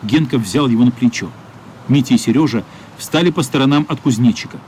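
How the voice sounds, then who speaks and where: A man's voice: medium pitch, brisk, darkish tone, a little hiss or hum, close to an everyday voice. A man narrating a children's radio play as a scripted storyteller. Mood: narrative. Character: male storyteller narrating a wistful children's adventure.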